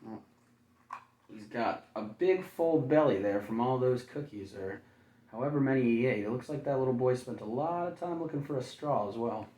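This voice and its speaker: A man's mid-pitched voice comes through roomy and a little way from the microphone. He reads a picture book to young children in a teacherly way, slipping into folksy, playful commentary between the story sentences. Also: normal speed, dark tone. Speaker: kindergarten teacher reading a picture book aloud. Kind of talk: children's read-aloud with casual asides. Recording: roomy echo, distant microphone.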